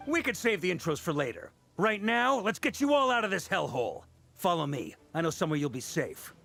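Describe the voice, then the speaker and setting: An actor playing a seasoned, easygoing knight commander in a video game, self-assured and casual with a wry streak. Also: male voice, high-pitched, very animated, a little fast, vocal fry, bright, forceful tone, soft background music, full character voice. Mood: urgent, commanding.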